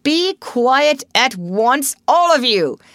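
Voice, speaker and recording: A woman voicing a stern, dignified, fatherly old male badger in a storybook, authoritative and deliberate. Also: medium pitch, huge pitch swings, a bit bright, dry recording, pitched above the performer's own voice, heavily acted. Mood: severe.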